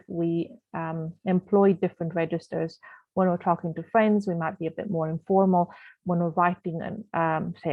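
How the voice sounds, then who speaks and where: A woman's voice: on the low side, dry recording. A woman who grew up in Canada, learned English as a second language, and teaches grammar in a patient, explanatory way with many fillers and restarts, speaking on a video call. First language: not English. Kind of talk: online grammar lesson. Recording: tinny phone-like call audio.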